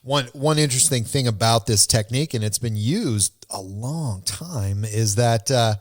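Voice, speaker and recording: A man hosting a podcast in a casual, conversational way, with a halting, rambling delivery. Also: medium pitch, dry recording, studio quality. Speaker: podcast host.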